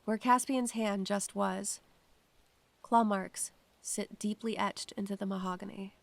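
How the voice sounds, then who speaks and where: A woman playing an anxious, observant first-person narrator in a scripted audio drama, measured and literary. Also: medium pitch, steady tone, vocal fry, dry recording. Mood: tense, hushed.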